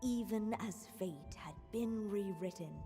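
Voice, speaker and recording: A woman narrating a fairy-tale story in a game, calm and measured. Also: medium pitch, big-room sound, over music.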